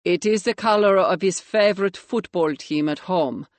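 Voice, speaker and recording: A woman's voice: moderate pace, no echo, natural voice. Woman doing a sullen young Latvian chef. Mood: guarded. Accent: Eastern European. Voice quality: lowered voice, terse broken English.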